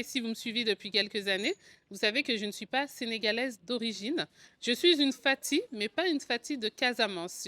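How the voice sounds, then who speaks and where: A woman's voice: a little high, bright, forceful tone. A woman in her forties with a French accent from France tells her own story in a conversational, explanatory vlog style. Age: 40s. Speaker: video channel host, agency co-founder. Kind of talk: personal vlog storytelling to camera.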